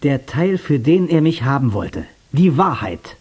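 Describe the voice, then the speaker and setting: An actor playing a bold, stubborn action hero in a radio play, speaking informally and insistently. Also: male voice, medium pitch, sing-song, a little slow, darkish tone, slightly rough. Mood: insistent, determined. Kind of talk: dubbed-style radio play acting. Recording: cassette radio play with music underneath.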